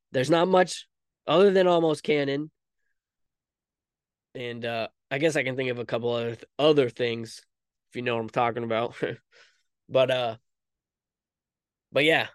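A man hosts a casual solo podcast in a smooth, fairly high voice. He talks informally and rambles, often putting himself down lightly. The sound is dry and close, with very little room in it. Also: no room sound. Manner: rambling, self-deprecating, informal. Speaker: podcast host.

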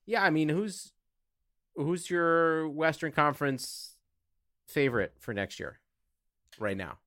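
A man's voice: a little high, slow, a bit bright, no echo, studio quality. An American man co-hosting a podcast, chatting in an informal, teasing, sweary style. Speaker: podcast co-host. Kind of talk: casual comedic chat.

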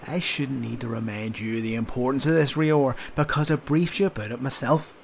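A man voicing a blustering, sarcastic army sergeant who lectures a young soldier in loud, drawn-out scolds. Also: mid-range voice, creaky, slight background noise, lightly acted. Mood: mocking lecture. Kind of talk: audiobook character voice.

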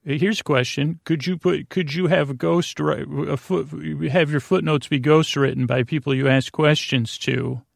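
A man hosting a bedtime podcast in a creaky, lulling voice, rambling and stammering as he wanders through halting, self-interrupted sentences. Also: fairly high pitch, fairly even intonation, dry recording, clean studio sound. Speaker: podcast host.

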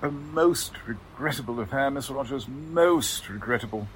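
A man's voice: mid-range voice, expressive, moderate pace, close to an everyday voice, low-quality recording. A man acts out a fussy company clerk in a forties radio drama. He is prim, courteous and hesitant, his intonation swinging widely. Faint ambient noise sits behind him. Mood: prim, regretful. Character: fussy, formal, hesitant male chief clerk. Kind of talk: 1940s radio drama acting.

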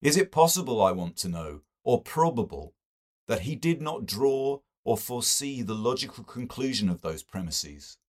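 A man's voice: medium pitch, big pitch swings, moderate pace, natural voice. A man voicing an earnest, argumentative young intellectual in a novel, declaiming his rhetoric theatrically. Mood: insistent.

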